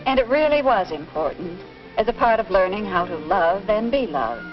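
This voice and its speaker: A dull, vintage film soundtrack with music carries an actress playing a middle-aged American mother. She is gentle and patient, explaining things to her daughter in a very expressive, rising and falling voice. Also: mid-range voice, normal speed, close to an everyday voice. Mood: thoughtful, reassuring. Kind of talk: 1950s instructional film acting.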